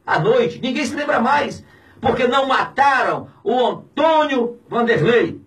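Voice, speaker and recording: A man in his fifties with a Brazilian accent, a pastor speaking out in an indignant, preaching tone on the radio, swinging between shouts and low, heavy words. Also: expressive, unhurried, recorded from a distance. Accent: Brazilian Portuguese. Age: 50s. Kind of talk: impassioned radio commentary. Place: small radio studio. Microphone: studio mic on a boom, filmed from a distance.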